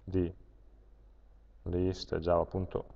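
An Italian man teaching a programming class, thinking aloud as he types code, with frequent pauses and fillers. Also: deep voice, monotone, gravelly, warm tone. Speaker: university lecturer. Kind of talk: live-coding programming lesson. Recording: dull lo-fi lecture capture with long hall reverb.

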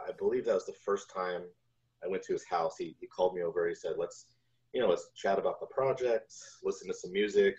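Deep-voiced man telling stories, dull audio. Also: darkish tone. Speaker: guest, video game composer. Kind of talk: casual interview storytelling. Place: home room on a video call. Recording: dull lo-fi video call audio.

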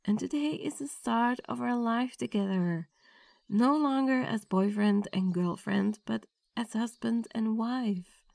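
A woman's voice: a little high, a little slow, vocal fry, darkish tone, no echo. A woman playing a nervous, affectionate young bride-to-be in an ASMR girlfriend roleplay, with a tender, intimate delivery. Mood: tender, reassuring. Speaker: roleplay audio performer.

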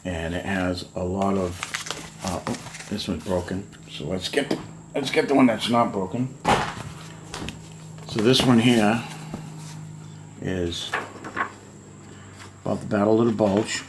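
A man's voice: fairly low pitch, measured pace, pauses often, noisy background. A middle-aged man casually showing old books to camera at home, musing aloud with lots of fillers. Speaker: model kit collector showing books. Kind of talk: casual show-and-tell vlog. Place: home room full of model kit boxes.

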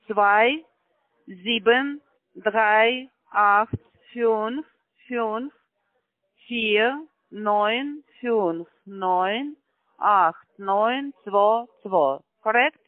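A man on a call-center line, his voice high and his delivery slow, careful and halting. He speaks German with non-native grammar and repeats everything back. The sound is tinny and dry, squeezed through a phone. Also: dry recording.

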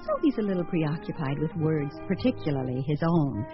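Laura, low, theatrical, wry romantic woman. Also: expressive, brisk. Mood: wry musing. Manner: theatrical, musing, self-questioning. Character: Laura, wry romantic single woman weighing her suitors. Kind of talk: radio drama acting, monologue and dialogue. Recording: muffled audio with music.

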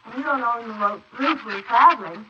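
Woman playing a high-voiced scheming bride, old radio. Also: a little fast, lightly acted. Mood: dismissive, impatient. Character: brazen, scheming, impatient bride plotting for an inheritance. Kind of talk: 1940s radio acting. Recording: noisy muffled 1948 wire recording of transcription discs.

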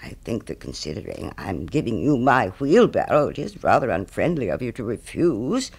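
A man's voice: mid-range voice, sing-song, moderate pace, vocal fry, darkish tone, husky, pitched below the performer's own voice, heavily acted. A man voicing a smug, selfish miller who preaches friendship in a pompous, moralizing way, as a storybook character. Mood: huffy. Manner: pompous, self-righteous, moralizing.

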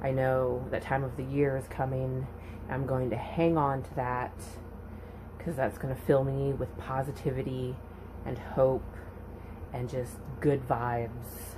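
Brief: woman in her thirties, casual phone vlog, slow and sighing, faint room hiss. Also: very deep voice, level tone. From California. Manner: slow, sighing, grumbling complaints. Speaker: vlogger. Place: parked truck cab, then dim home room. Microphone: phone at arm's length.

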